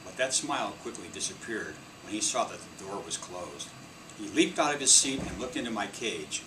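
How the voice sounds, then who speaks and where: A man reading a children's story aloud in an expressive storytelling style. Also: on the low side, normal speed. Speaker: storybook reader. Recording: distant mic, clear air-conditioning hum.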